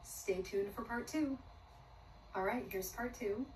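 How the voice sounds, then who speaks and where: A woman's voice: fairly high pitch, takes it slowly, a little mellow. A young woman from the United States telling her personal story in a bubbly, outgoing way into a phone camera. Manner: bubbly and self-described extrovert. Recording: roomy distant phone clip with faint noise.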